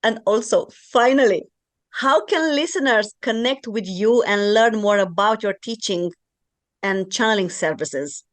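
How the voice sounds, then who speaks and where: A woman's voice: medium pitch, measured pace, no room sound. A woman whose first language is Romanian hosts an interview, speaking earnestly and affirming her guest. Her mid-range voice is recorded dry, with no room sound. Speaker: podcast host. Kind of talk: interview conversation.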